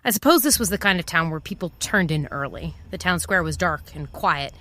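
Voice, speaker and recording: An actress playing a guarded, wry private eye in an understated noir audio drama. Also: female voice, fairly low pitch, lively intonation, fairly quick, fairly bright tone, no room sound. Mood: reflective. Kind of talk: noir first-person audio drama narration and dialogue.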